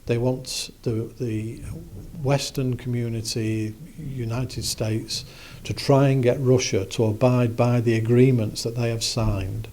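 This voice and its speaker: A man's voice: medium pitch, steady tone, mellow. Middle-aged man in a news interview. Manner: measured, with restarts. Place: office indoors.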